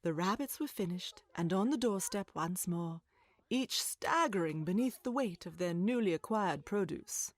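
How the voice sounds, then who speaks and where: A woman narrating a scripted storybook tale in a measured, literary storytelling manner. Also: on the low side, animated, no echo.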